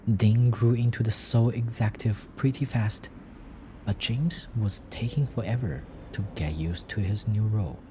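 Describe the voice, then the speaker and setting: A man's voice: on the low side, normal speed, background noise. A man reading scripted fiction as a story narrator.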